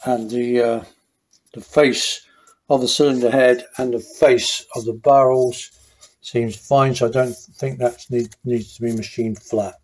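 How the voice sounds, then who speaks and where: A man's voice: medium pitch, measured pace, low-quality recording. Male mechanic, mid-range voice, casual workshop commentary with frequent restarts. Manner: thinking aloud, relaxed, with many fillers and restarts. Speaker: motorcycle mechanic.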